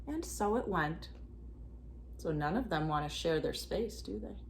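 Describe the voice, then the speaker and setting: A woman reading a storybook aloud expressively to children, pausing for asides and questions to her young listeners. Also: fairly low pitch, a little hiss or hum. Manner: animated, addresses children with questions. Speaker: children's read-aloud reader.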